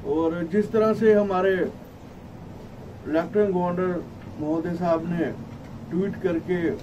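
A middle-aged man delivers a formal statement in a high voice, slightly muffled by a face mask. He speaks haltingly as a spokesman at a press conference. The microphone sits at a distance in a room with faint background noise. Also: fairly even intonation. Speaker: traders' federation spokesman. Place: small indoor office at a press conference. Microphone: cluster of table microphones, camera at some distance. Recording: distant mic, noisy room ambience.

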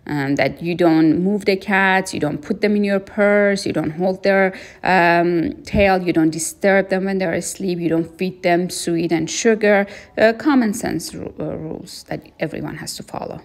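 Woman w/ a low gravelly voice chatting about a trip, lots of ums, easygoing. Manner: unhurried, frequent fillers, plain opinions. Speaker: travel vlogger. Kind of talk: casual spoken travel review.

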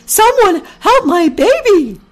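A woman voicing a frantic mother goose in a children's story, with a shrill honking squawk and exclamations. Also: sing-song, slow, full character voice. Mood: panicked. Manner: exclaiming, honking and hissing between pleas.

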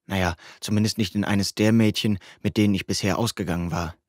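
Audiobook narrator: man with a fairly low voice, very dry studio sound, first-person youth fiction. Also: steady tone, brisk, no echo.

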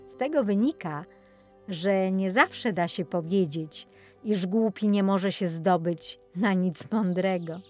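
A woman narrating a children's fairy tale as an audiobook storyteller, reading from a script. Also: mid-range voice.

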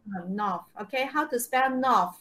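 A woman's voice: a little high, normal speed, bright, forceful tone. A woman teaching a live online English class, often checking understanding with short questions. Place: online video-call lesson.

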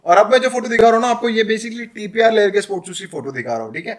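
A man's voice: high-pitched, rapid-fire. A man in his thirties with an Indian accent giving casual, chatty advice in a vlog, explaining things and mixing in English phrases. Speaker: footwear dealer and vlogger. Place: small indoor room. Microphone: camera at arm's length.

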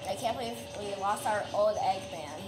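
A woman's voice: mid-range voice, normal speed. A young woman playing a video game, chatting casually and jokingly with a friend. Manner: playful, jokey, bursts into shouts and laughs. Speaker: game player. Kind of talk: casual gameplay chat. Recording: echoey room, game music loud behind.

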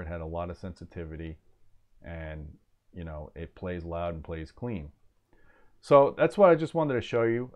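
A man in his forties hosts an informal technical explainer. His voice sits somewhat low. He is plain-spoken and opinionated, stressing his points as he talks into a clip-on mic in a small home office. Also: darkish tone. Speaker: host of an audio gear review channel.

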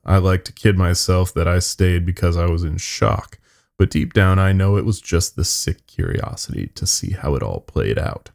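A man's voice: low-pitched, vocal fry, darkish tone. A man narrating a scary story in the first person as a podcast storyteller, with dry, wry asides.

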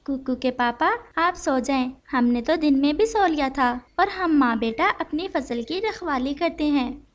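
A caring mother bird from a children's cartoon, acted by a woman. She speaks in a high voice with a gentle, motherly manner. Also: lively intonation, measured pace.

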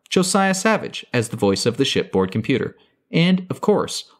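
A man hosts a podcast outro in a scripted announcer style. His voice sits at a middle pitch and moves with lively intonation as he reads credits and promotion. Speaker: podcast host and voice actor.

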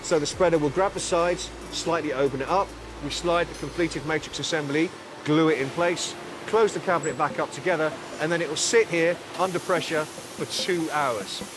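A man in his forties leading a tour of a large factory floor, explaining each production step in a calm, clear way. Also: a little high, moderate pace, noisy background. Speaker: factory guide. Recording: music bed under voice.

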